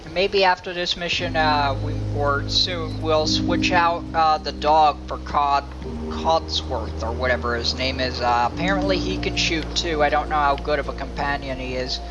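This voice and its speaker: Game music runs clearly behind a man with a slightly high voice. He hosts a casual let's play, rambling along and thinking aloud as he goes. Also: moderate pace, muffled recording. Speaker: gaming channel host.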